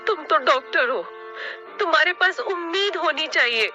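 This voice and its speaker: A woman's voice: high voice, lively intonation, normal speed, sharp tone, dull audio, faint music behind, full character voice. A woman voicing an anguished, defiant mother bird in a cartoon story, with impassioned, dramatic delivery. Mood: reproachful. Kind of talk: Hindi cartoon story acting.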